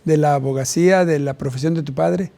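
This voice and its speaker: A man's voice: a little high, fairly quick, creaky, dark tone. A man hosting a televised interview, asking short follow-up questions and echoing his guest's answers. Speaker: interviewer and show host. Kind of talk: warm conversational TV interview.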